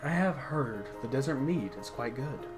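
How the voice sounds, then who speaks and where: A man voicing a haunted male swordsman who speaks in a low, cool and measured way. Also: animated, music in the background, natural voice.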